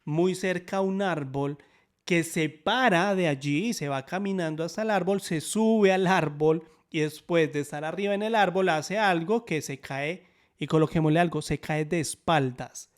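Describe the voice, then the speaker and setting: A man teaching a sign language lesson in Spanish, upbeat and explanatory, often checking in with quick questions. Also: on the high side.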